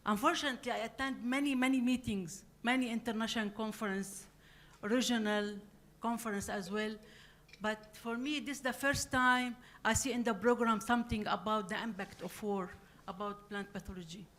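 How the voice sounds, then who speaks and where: A woman from Syria with a Syrian Arabic accent giving a scientific conference presentation in halting non-native English. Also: on the high side, fairly even intonation, fairly bright tone. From Aleppo, Syria. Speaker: conference speaker, plant virologist.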